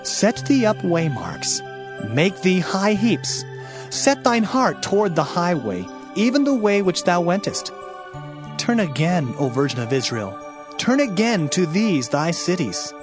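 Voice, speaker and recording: Higher-pitched solemn male scripture narrator. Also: big pitch swings, unhurried. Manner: solemn, declamatory, formal. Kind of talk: dramatized audiobook scripture reading. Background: music.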